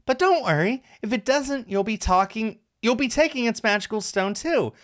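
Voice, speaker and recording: A cheerful female peddler in a fantasy visual novel, played by a man. The voice is pitched high and swings around with excitement. She is flirty, teasing and theatrical, gushing like a keen saleswoman. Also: moderate pace, soft background music. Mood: excited sales patter. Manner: gushing, teasing, excited sing-song delivery. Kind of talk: visual novel character read-aloud.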